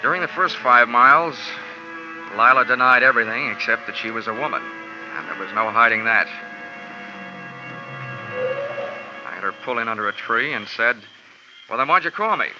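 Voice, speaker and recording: A man acts out a cynical, world-weary investigator from 1940s radio crime drama. He is a man of mid-pitched voice who talks in sardonic, clipped wisecracks. The recording is dull and old, with music underneath. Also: animated, brisk, natural voice. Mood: wry narration. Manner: sardonic, wry, clipped tough-guy talk. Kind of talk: 1940s radio acting and first-person narration.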